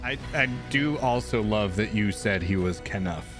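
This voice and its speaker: A man's voice: on the low side, lively intonation, normal speed. A man running a tabletop game as game master, chatting casually in an easygoing, amused manner. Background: faint music.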